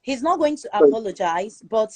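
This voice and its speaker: A woman with a Nigerian accent giving her opinion forcefully in a live chat room, repeating her points for emphasis. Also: a little high, very animated, moderate pace, dry recording. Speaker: guest speaker in a live audio chat room. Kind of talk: opinionated casual commentary.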